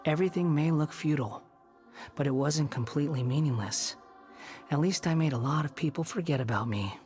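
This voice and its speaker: A man's voice: a little high, a little fast, darkish tone, close to an everyday voice. An actor voicing a bitter, proud young male former villain in a video game, with a dry, aloof manner. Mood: brooding, resigned. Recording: background music under voice.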